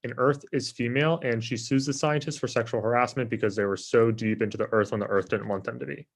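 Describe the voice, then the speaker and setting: An actor at a comedy table read playing a lazy, self-absorbed office coworker in a casual, dismissive way. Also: male voice, medium pitch, fairly even intonation, fast talker, lightly acted. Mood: self-satisfied.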